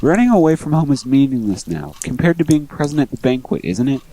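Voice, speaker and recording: A man playing a cool, reserved, sharp-tongued teenage boy in an amateur anime-style radio drama, composed and matter-of-fact. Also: a little high, fast talker, gravelly, dry recording, low-quality recording. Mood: curt, scolding.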